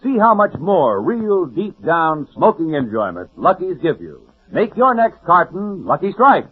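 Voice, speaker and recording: Animated 1940s radio pitchman, narrow sound. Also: a little slow, husky. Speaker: commercial announcer. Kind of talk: 1940s radio advertisement read.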